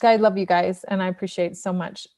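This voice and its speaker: A woman's voice: on the low side, fairly even intonation, a little fast, darkish tone. A woman asking questions in an informal, playful way as she moderates a casual panel chat.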